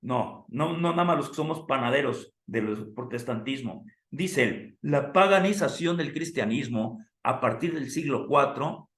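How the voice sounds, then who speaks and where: A hoarse man teaching a theology class. He asks the students questions and calls them "amados" like a preacher would. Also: medium pitch, lively intonation, a little slow, few pauses, fairly bright tone, echoey room. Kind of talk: classroom lecture with preacher cadence.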